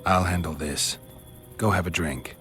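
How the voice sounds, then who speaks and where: A man voicing a stoic monster hunter with a dry, deadpan way of talking in a video game. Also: deep voice, unhurried, music in the background. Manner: terse, deadpan.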